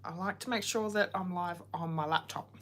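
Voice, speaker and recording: A woman in her forties chats casually on a craft livestream, greeting viewers by name and wandering into rambling asides. Her voice sits a little low, and a cold has left her throat slightly sore. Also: fairly quick, a bit bright. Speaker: card-making livestream host.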